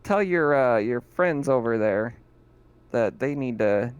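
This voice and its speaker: A man voicing a gruff, guarded colonial counterman in a tabletop game, curt and colloquial. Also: medium pitch, expressive, slow pace, no room sound, highs cut off. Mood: warning.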